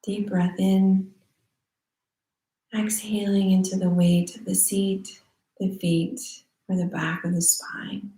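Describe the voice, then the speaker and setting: A woman teaching guided meditation in a soothing, unhurried manner with long pauses. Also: medium pitch, flat delivery, warm tone. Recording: roomy echo.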